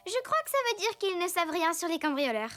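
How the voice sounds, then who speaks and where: A woman's voice: extremely high pitch, very animated, fast talker. A woman voicing a plucky, chatty little superhero girl in a children's cartoon dub, confident and articulate. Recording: loud music bed behind.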